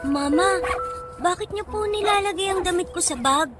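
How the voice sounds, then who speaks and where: A woman's voice: very high voice, normal speed, loud music behind the voice. A woman playing a small, innocent, pleading boy in a Tagalog radio drama, with a plaintive, polite, childlike manner. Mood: innocent, curious. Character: small hungry boy, innocent, pleading, loyal to his mother.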